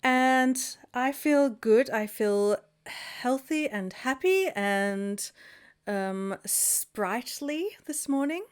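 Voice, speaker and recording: A woman's voice: sits high, animated, very slow, smooth. A woman co-hosting a casual podcast from her home studio over a video call. She talks in an upbeat, rambling way and giggles often. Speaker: podcast co-host. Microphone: home podcast mic over video call.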